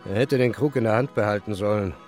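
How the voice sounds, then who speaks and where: An actor playing a chatty, nostalgic tavern companion in a radio play. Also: male voice, fairly low pitch, fast talker, a little mellow, soft background music, natural voice. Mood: explaining.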